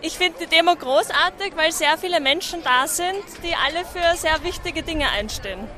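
Young woman, high voice, earnest interview answers, carefully phrased, outdoors among a noisy crowd. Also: normal speed, sharp tone. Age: young adult. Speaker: student activist. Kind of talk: street interview at a demonstration. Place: outdoors at a demonstration. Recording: outdoor recording amid demonstration crowd.

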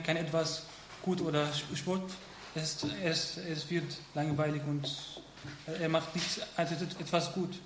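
A man whose first language is Arabic, speaking in halting sentences full of restarts and fillers. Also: fairly high pitch, a bit bright. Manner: halting learner's speech, many restarts. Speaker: interviewee, footballer. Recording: echoey room, faint noise.

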